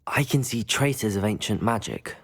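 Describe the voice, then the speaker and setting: A man playing a polite, guarded teenage wizard student in a video game, speaking in a measured, earnest way. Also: on the low side, animated, creaky, husky, heavily acted. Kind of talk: dramatic video game dialogue. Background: music.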